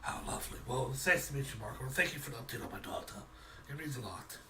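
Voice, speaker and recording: A man's voice: mid-range voice, animated, fast, bright, forceful tone. A worried older father played by a man in a tabletop game. He is polite and hesitant, stammering over his words and repeating himself. Faint noise sits behind him. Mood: polite, grateful.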